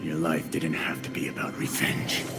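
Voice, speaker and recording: An actor playing a determined vigilante hero in a video game cutscene, speaking in a terse, dramatic way. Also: male voice, rumbling low, level tone, normal speed. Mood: firm. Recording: voice under loud cinematic music.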